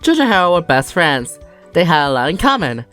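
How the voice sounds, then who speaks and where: An animated storyteller reads a children's book aloud with theatrical flair. The pitch sits in the middle and moves about freely, with light music underneath. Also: brisk. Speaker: narrator. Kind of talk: storybook narration read aloud for a fan dub. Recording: music behind the voice.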